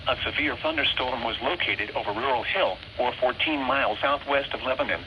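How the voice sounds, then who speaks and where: A synthetic man's voice reads formal scripted weather warnings over weather radio in a measured, impersonal way. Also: medium pitch. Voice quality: synthetic text-to-speech voice. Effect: weather radio broadcast. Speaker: automated weather radio announcer. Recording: noisy weather radio picked up in room.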